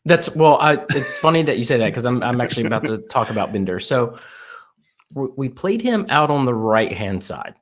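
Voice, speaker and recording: A man hosting a casual soccer podcast, eager and talking in quick bursts as he asks his guest questions. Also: mid-range voice, big pitch swings. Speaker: podcast host.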